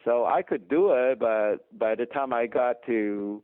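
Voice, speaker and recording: A man's voice: mid-range voice, measured pace, clean tone, no echo. A middle-aged man from Taiwan with a Taiwanese accent, a dentist explaining things emphatically in an interview. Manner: emphatic, explanatory, chuckles often. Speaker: dentist guest. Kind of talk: interview conversation. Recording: dry tinny phone line.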